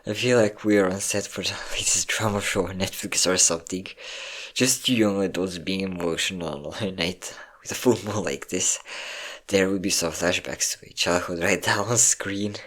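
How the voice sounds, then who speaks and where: A performer with a low voice playing an insecure, depressive young adult best friend in an intimate, halting audio roleplay monologue. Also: fairly bright tone. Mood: soft, affectionate. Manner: emotional, confiding, halting.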